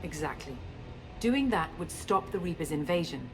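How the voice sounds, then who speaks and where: A woman playing a guarded, self-assured scientist and space operative in a video game, explaining things in a measured way. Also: deep voice, animated. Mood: composed, explaining. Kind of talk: video game cutscene acting. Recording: music under the dialogue.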